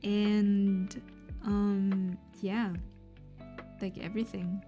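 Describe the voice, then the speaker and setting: A woman's voice: medium pitch, level tone, drawn-out words, mellow. A young woman whose first language is German, livestreaming and chatting casually while she draws, thinking aloud with fillers and restarts. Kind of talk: casual chat while drawing. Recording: faint lofi music underneath.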